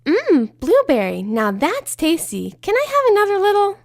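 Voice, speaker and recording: A woman's voice: very high voice, wildly swooping pitch, normal speed, darkish tone, no room sound, clean studio sound, voice pushed up, exaggerated voice. A woman voicing a hyper, bubbly female cartoon pony obsessed with candy, squealing and bouncy in manner. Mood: delighted.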